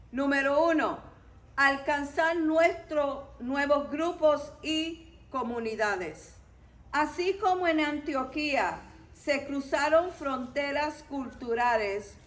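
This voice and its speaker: High-pitched, slow-paced Puerto Rican woman teaching. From Puerto Rico. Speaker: church Bible study teacher. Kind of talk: Bible study teaching to a congregation.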